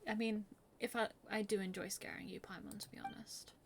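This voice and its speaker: A woman's voice: mid-range voice, fairly even intonation, brisk, heavy background noise. A woman streaming a game, giving casual live commentary and replying to the characters.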